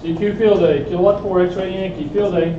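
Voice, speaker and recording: A man's voice: high voice, fairly even intonation, a little fast, noisy background. A man casually demonstrating radio exchanges as hands-on practice. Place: indoor club meeting room. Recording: echoey room, distant dull mic, chatter around.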